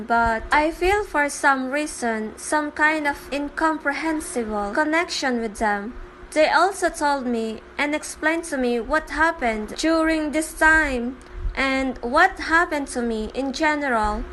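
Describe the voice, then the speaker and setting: A woman with a high voice performs a scripted comic dub. Her delivery is slow and dramatic, and there is light background noise on the recording. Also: fairly bright tone, poor audio. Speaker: fan comic dubber.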